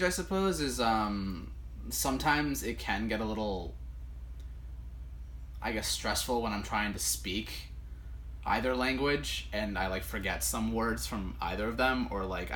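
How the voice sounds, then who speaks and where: A man in his twenties, born in the States, answering interview questions in a relaxed way, often pausing with ums and correcting himself. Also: lowish voice. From United States. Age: 20s. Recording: faint background noise.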